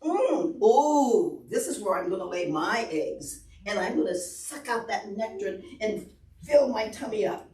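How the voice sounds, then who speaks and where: A woman voicing a picky, delighted butterfly for small children, musing playfully with drawn-out words. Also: medium pitch, expressive, mellow, lightly acted. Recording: echoey room, distant camera microphone.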